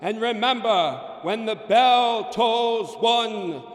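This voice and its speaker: A man's voice: high-pitched, takes it slowly. An actor playing a mournful, solemn male ghost, declaiming in old-time radio drama style. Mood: grave warning. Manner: declamatory, wailing. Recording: echoey, long ghostly reverb.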